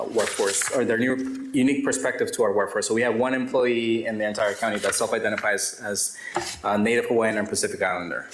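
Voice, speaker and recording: A man's voice: mid-range voice, a bit bright, a little hiss or hum. Formal male presenter in echoey room. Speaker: event presenter. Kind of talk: scripted speech with small ad-libs. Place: event room with audible echo. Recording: echoey live event room.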